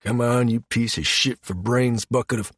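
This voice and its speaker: A man's voice: a little high, expressive, takes it slowly, no echo, clean studio sound, pitched above the performer's own voice. A man voicing a grumbling, foul-mouthed, exasperated male ship's engineer in an audiobook. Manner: exasperated, cursing.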